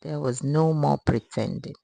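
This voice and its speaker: Measured woman storyteller, dry sound. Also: sits low, gravelly, warm tone, no room sound, low-quality recording. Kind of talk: scripted story narration.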